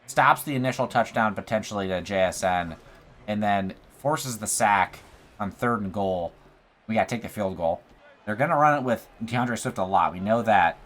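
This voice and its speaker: A young man giving casual play-by-play commentary over a football video game, excitable, with his voice going a little hoarse. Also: fairly low pitch, a little hiss or hum. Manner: excitable, talks through plays, says bro and like. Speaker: gaming YouTuber. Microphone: USB desk mic.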